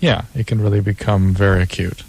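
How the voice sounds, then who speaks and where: A man giving calm, clinical advice to callers on a call-in radio show. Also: lowish voice, a little fast, creaky. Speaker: radio co-host and doctor. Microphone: studio microphone.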